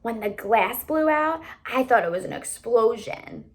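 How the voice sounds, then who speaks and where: A woman with a fairly high voice and a strong creak reads a children's novel aloud. She is expressive, as a teacher reading to a class would be, and she acts out the characters' lines. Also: measured pace.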